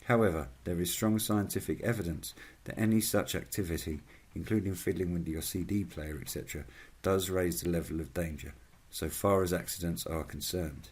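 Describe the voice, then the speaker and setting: A man with a husky voice reading a scripted narration as a channel host, in a formal, measured manner. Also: low voice, a little mellow.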